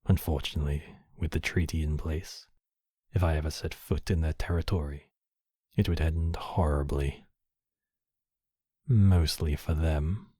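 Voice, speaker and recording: Man acting a very deep, husky demon king. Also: steady tone, mellow, no room sound, studio quality, exaggerated voice. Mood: indignant, menacing. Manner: slow, regal, formal phrasing. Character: possessive horned demon king, commanding yet compassionate. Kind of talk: intimate ASMR roleplay acting.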